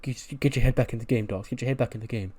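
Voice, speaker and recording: A man's voice: mid-range voice, flat delivery, moderate pace, a little hiss or hum, close to an everyday voice. A man voicing a hot-headed male tag-team wrestler, slangy and defensive, in a retold wrestling scene. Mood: anxious self-talk.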